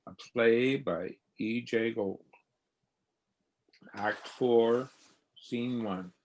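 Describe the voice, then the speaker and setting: Male stage-direction reader, middle pitch, extremely slow, scripted announcing. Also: poor audio.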